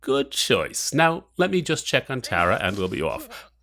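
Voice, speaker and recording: Cold audio drama villain: formal, measured, mocking, with widely swinging intonation. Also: male voice, medium pitch, very animated, bright, forceful tone. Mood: cold menace. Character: menacing, cold, mocking villain, adult man.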